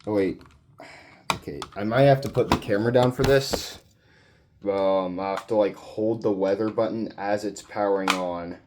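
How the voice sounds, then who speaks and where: A man hosting a casual, unscripted hobby demonstration, mumbling haltingly to himself and swearing now and then into a handheld camera. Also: fairly low pitch, noisy background. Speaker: hobbyist video host.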